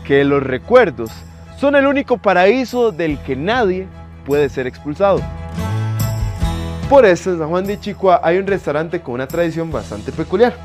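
A young Costa Rican man narrates a scripted TV feature. His voice sits fairly high and moves with very lively intonation. Music plays behind him. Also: normal speed. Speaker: television reporter. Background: background music.

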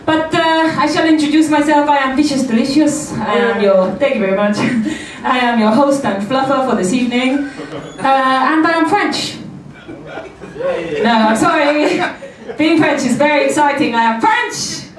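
Cheeky high-voiced woman hosting a cabaret. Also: normal speed. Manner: cheeky, teasing, mock-haughty, laughs often. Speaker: cabaret host. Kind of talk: comic stage banter with the audience. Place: small cabaret club stage. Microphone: handheld mic, filmed from the audience. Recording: roomy club sound from a distant camera, loud band.

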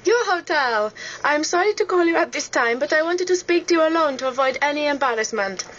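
A woman's voice: high-pitched, expressive, fairly quick, a bit bright, a little hiss or hum. A woman voicing a fake Spanish female hotel receptionist, speaking with prim, scripted politeness. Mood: polite. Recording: dull radio studio sound.

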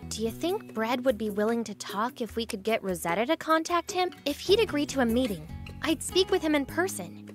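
A woman voicing an earnest young woman in a video game, gentle, brave and thoughtful. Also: on the high side, expressive, fairly quick, faint music behind, natural voice. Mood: earnest, concerned. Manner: sincere, thoughtful.

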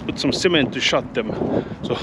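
A man with a Finnish accent, a Finnish native speaker narrating his exploration vlog outdoors, halting as he searches for English words. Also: mid-range voice. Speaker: urban explorer. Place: outdoors at an abandoned mansion. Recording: loud wind noise on the mic.

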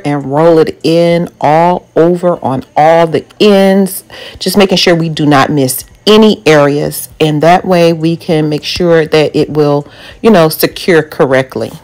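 A woman's voice: low-pitched, darkish tone. A woman narrating a home do-it-yourself project over video, explaining each step plainly with occasional ums. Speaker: home DIY vlogger.

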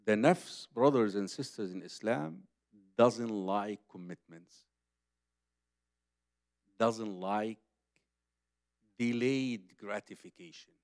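A man's voice: lowish voice, slow, long pauses, a little mellow, no echo, studio quality. Casting: older male Islamic preacher, Egyptian accent, native Arabic speaker, conversational and emphatic, repeats key phrases, speaks into a stand mic. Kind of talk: conference religious lecture. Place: conference hall stage, seated at table. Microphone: microphone on a boom stand.

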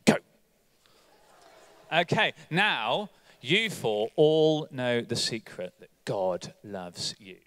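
A man in his forties giving an energetic all-age church talk on a hall stage, coaxing volunteers into a game. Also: mid-range voice, extremely slow, pauses often. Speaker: church leader. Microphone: handheld mic.

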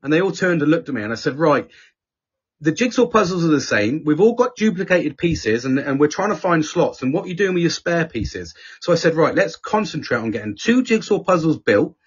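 A middle-aged man with a husky, fairly high voice tells a story to a podcast co-host. His talk is loose and sweary, and he slips into voices for the people he quotes. The recording sounds dull and lo-fi. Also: big pitch swings, fairly quick. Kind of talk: casual storytelling chat.

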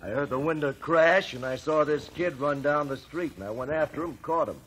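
An actor playing a terse, defensive witness testifying in a 1950s radio drama. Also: male voice, a little high, brisk, fairly bright tone, natural voice. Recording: faintly noisy old radio recording.

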